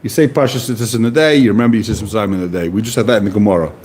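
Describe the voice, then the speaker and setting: A man's voice: on the low side, rapid-fire, darkish tone, a little hiss or hum. A man giving a casual Talmud class, explaining Hebrew and Aramaic terms in plain conversational English. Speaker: Talmud teacher.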